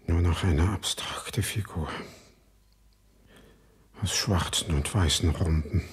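An actor playing a brooding banished son in a radio play, narrating slowly and solemnly in a husky voice. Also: male voice, heavily acted. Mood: solemn, haunted. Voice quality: very deep, husky. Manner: slow, solemn, measured. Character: brooding banished son, slowly recounting his painter mother's pictures.

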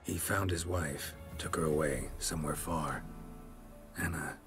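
A stoic, weary monster hunter from a fantasy game, with a deep, gruff rasp. He speaks in clipped, deadpan phrases over faint music. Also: measured pace. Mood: terse, stoic. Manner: terse, clipped, deadpan delivery. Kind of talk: cinematic fantasy game acting. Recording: music behind the voice.

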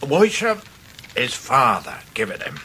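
An actor playing a good-natured, humble seaside fisherman in a radio drama, with a hearty, halting manner. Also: mid-range voice, wildly swooping pitch, pushed and bright, slight background noise, full character voice. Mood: frank, respectful. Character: gruff, good-natured, large hairy old-time seaside fisherman, kindly uncle. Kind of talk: 1990s radio drama acting.

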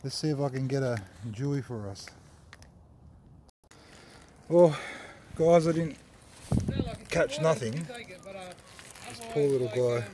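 A man's voice: mid-range voice, expressive, slow pace, pauses often, warm tone. A young Australian man giving casual fishing tips on a harbour wharf at night, rambling and swearing now and then, filmed on a phone. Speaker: fishing vlogger. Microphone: phone camera held close.

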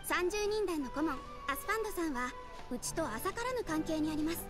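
A woman's voice: extremely high pitch, lively intonation. A woman voicing a composed young guild receptionist in an anime game, speaking formally and politely. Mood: helpful, composed. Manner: formal, courteous, measured. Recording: game music behind the voice.

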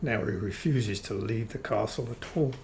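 An actor playing a courteous, matter-of-fact vampire count and husband in a radio play. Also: male voice, on the low side, darkish tone, slight background noise, lightly acted. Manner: measured, courteous, explanatory. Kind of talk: old-time radio play acting.

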